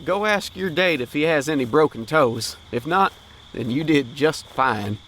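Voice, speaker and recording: A man's voice: on the high side, animated, a little slow, a bit bright, a little hiss or hum. An actor playing an easygoing, slangy teenage boy with folksy grammar in a period audio drama. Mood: carefree, teasing. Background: crickets and night insects.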